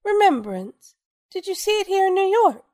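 An actress playing a famous, sharp-tongued theater star in a radio drama, with a grand, theatrical, sardonic manner. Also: female voice, extremely high pitch, sing-song, moderate pace, dry recording, studio quality. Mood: grandly gracious.

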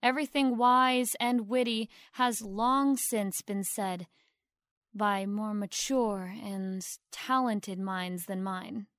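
A woman playing a poised young stage actress who speaks with formal, sweetly humble gratitude and deliberate pauses in an audio drama. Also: medium pitch, fairly bright tone, dry recording, studio quality. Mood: humble, proud. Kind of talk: theatrical award acceptance speech in audio drama.

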